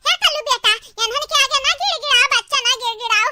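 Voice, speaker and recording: An aggrieved village sister-in-law from a comedy cartoon, scolding and wailing theatrically. The voice is extremely high and piercingly bright, as if artificially pitched up, with a Bhojpuri-Awadhi accent from North India. Faint noise sits behind it. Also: fast, heavily acted. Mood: sorrowful, firm. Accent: Bhojpuri-Awadhi belt of North India. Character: melodramatic aggrieved village sister-in-law threatening to leave home. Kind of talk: exaggerated family comedy cartoon dialogue in rural dialect.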